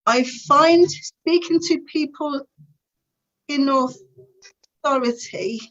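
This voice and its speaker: A woman's voice: sits high, slow, a bit bright, lo-fi sound. A woman in her fifties giving a personal conference talk over a video call, stammering with repeated sounds and blocks. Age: 50s. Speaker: conference speaker.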